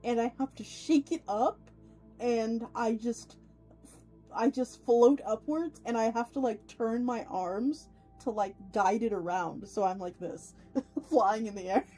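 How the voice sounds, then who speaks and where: A woman's voice: on the high side, unhurried, warm tone, soft background music, low-quality recording. A woman hosting a casual livestream, chatting with viewers in an effusive, playful way with theatrical turns of phrase. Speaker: VTuber livestream host.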